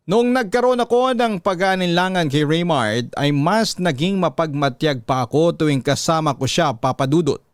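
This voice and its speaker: A male host narrates a listener's story in the first person, measured and suspenseful. His voice is smooth and resonant, slightly higher than most men's, and recorded with no room sound at all. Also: expressive, dry recording, studio quality.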